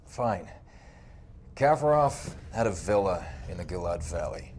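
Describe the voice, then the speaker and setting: A man's voice: sits low, very animated, a little slow, a little mellow, a little hiss or hum. An actor playing a defensive male army sergeant under interrogation, talking in a clipped, urgent way. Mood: tense.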